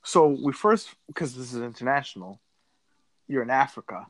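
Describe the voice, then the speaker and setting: A woman with a notably low, deep voice chats casually about a movie, dropping sarcastic asides and frequent laughs. The sound is dry and close, with no room around it. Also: lively intonation, normal speed, no echo. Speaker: podcast co-host. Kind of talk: casual movie recap chat.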